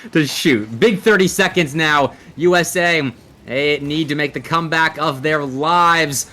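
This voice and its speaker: Bright-voiced male esports commentator. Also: a little high, measured pace. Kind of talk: live Rocket League play-by-play and banter.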